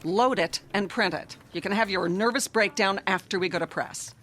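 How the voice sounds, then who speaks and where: A woman acting as a curt, domineering magazine boss in a radio drama. She snaps orders and drips sarcasm at a middling pitch, over audible background noise. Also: normal speed, fairly bright tone, natural voice. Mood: angry, scornful. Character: bossy, cutting female magazine managing editor.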